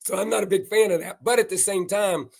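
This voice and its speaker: Hoarse older man analyzing a race. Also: high-pitched, steady tone, fairly quick. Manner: folksy, emphatic, rambling. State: hoarse, losing his voice. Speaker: racing analyst. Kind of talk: live post-race analysis.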